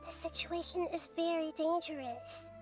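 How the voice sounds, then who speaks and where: Game role: tiny solemn child goddess, very high voice, kind but firm, measured delivery, music underneath. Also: female voice, fairly even intonation. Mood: firm, explaining. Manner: measured, articulate, earnest moral reproach. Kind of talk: English video game voice acting.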